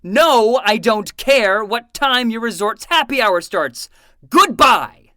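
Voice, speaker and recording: Casting: a woman as a harried, sarcastic librarian man for audio drama, swinging pitch, close dry sound. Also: animated, slow pace, sharp tone, no echo, heavily acted. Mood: exasperated. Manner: exasperated, sarcastic, ranting. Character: exasperated, sarcastic male librarian, grown adult. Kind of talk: fiction podcast acting.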